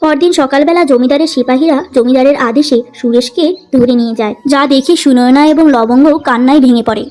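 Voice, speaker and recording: A woman's voice: high voice, brisk, few pauses, warm tone. A woman reading scripted narration for a moral story as the storyteller.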